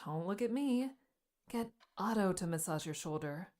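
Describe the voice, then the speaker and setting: A woman's voice: fairly low pitch, animated, moderate pace, a little mellow, close to an everyday voice. A woman playing an adventurer's teasing, affectionate partner in an audiobook, with a playful and tender way of talking. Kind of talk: audiobook character dialogue. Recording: music under the voice.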